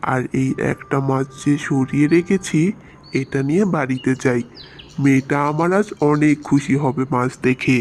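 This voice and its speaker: A man voicing a gentle, hardworking village fishmonger and doting father in a cartoon, speaking in a soft-hearted, reassuring way. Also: medium pitch, moderate pace, a little hiss or hum, close to an everyday voice. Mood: apologetic, reassuring. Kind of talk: Bengali moral-tale cartoon dub.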